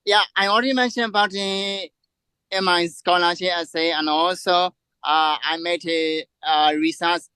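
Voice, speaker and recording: Bright, high-voiced man with a Burmese accent. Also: fairly even intonation, no echo. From Burma. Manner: halting, frequent throat clearing. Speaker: scholarship applicant asking a question. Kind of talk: hesitant question in an online meeting. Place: virtual meeting on a video call.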